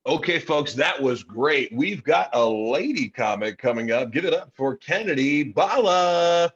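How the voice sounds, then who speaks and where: Slow, husky open-mic emcee, played by a man. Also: a little high, expressive, lo-fi sound, exaggerated voice. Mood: announcing. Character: male open-mic comedy host introducing acts. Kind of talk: stage announcing in a table read. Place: video call from home.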